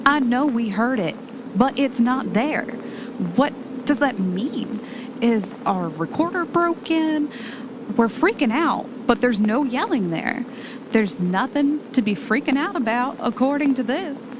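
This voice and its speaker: A woman's voice: on the high side, expressive, measured pace. A woman acting an affectionate, easily unnerved young wife in an amateur audio drama, speaking casually and conversationally. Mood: rattled. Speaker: actor in an amateur radio drama. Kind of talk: naturalistic audio drama dialogue. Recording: noticeable background noise, amateur recording.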